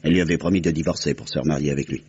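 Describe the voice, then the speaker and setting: A composed detective with a low voice and a methodical, articulate way of explaining, in vintage French radio drama style. The recording is slightly dull with faint noise. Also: male voice, steady tone, rapid-fire, a little mellow. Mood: composed, explanatory. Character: composed, methodical detective recounting a solved murder. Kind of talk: old French radio crime drama acting.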